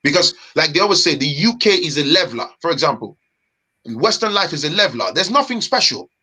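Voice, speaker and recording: Animated man, bright voice. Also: fairly high pitch, brisk, slightly rough. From Nigeria. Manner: animated, emphatic, street slang. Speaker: guest. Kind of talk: casual chat.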